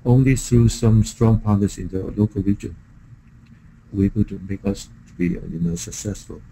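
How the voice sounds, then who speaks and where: A middle-aged man from Hong Kong with a Hong Kong accent, a native Chinese speaker, making a business pitch in halting English with repeated words. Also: warm tone, a little hiss or hum, rough recording. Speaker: software vendor presenting to a prospective partner. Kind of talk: business call, product pitch.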